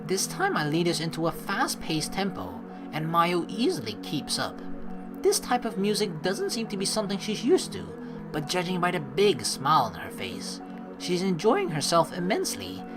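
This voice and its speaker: A woman hosting a let's play, informal and animated, mixing game commentary with reading dialogue aloud. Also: lowish voice, normal speed, music in the background.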